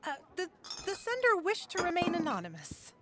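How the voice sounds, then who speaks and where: An actress playing a polite restaurant server in an audio drama, courteous and presentational. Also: female voice, extremely high pitch, huge pitch swings, moderate pace, over loud music, full character voice.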